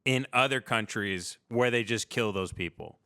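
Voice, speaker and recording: A man in casual comedic conversation, musing in a halting, wry way. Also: fairly low pitch, bright, forceful tone, clear, resonant tone, no echo.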